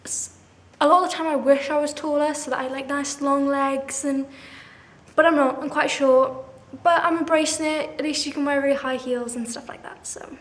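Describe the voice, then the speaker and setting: A woman's voice: high voice, steady tone, normal speed, echoey room. A seventeen-year-old girl chatting casually through a question-and-answer video, bubbly and full of "like", laughing at herself. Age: 17, teens. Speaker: vlogger. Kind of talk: casual Q&A chat to camera.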